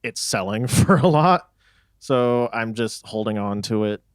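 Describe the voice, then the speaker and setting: A man's voice: medium pitch, a little slow, smooth, no room sound, studio quality. A young man from Texas chatting casually in a band interview, rambling and laughing easily. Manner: relaxed, rambling, laughs often. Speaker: band member.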